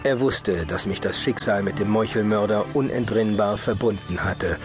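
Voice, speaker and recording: An actor playing a grave, determined dark elf hero who narrates a German fantasy audio drama in a dramatic scripted style. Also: male voice, lowish voice, normal speed, over music, close to an everyday voice. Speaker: narrator and lead character.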